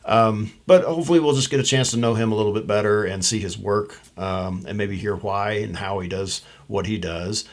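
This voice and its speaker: Relaxed man hosting a photography channel. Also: on the low side, moderate pace, few pauses. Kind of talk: interview host, casual chat. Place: home office. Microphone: studio mic in front of the speaker.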